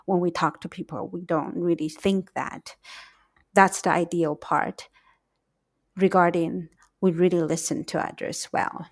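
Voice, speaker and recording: A middle-aged woman with a Taiwanese accent hosting a solo reflective podcast, speaking in a deliberate, emphatic way. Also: fairly low pitch, fairly even intonation. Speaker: podcast host, English teacher.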